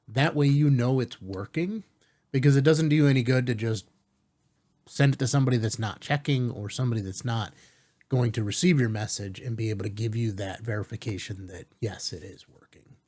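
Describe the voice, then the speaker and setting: A man hosting a step-by-step software tutorial in an informal, reassuring way, often checking in with rhetorical asides. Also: medium pitch, brisk. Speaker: tutorial host.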